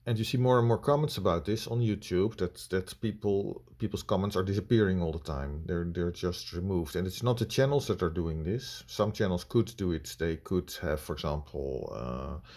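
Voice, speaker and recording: Low-voiced Dutch man, halting and blunt. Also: a little mellow. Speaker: video reviewer. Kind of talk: unscripted critical commentary.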